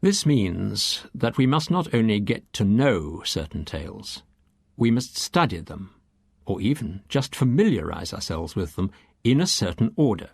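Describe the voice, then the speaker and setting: A man reading scripted, lecture-like exposition for an audiobook in a measured, deliberate way, with emphatic phrasing. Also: lowish voice, big pitch swings, gravelly, slightly rough, dry recording, clean studio sound. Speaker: audiobook reader.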